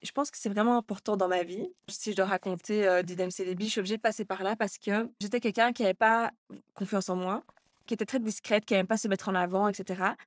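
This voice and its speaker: A young woman speaks at a middle pitch, lively and full of small hesitations. She is a guest in a casual interview, and her voice sounds dry and close with no room around it. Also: fast talker, dry recording. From Belgium. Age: 20s. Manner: lively, fills with many hesitations.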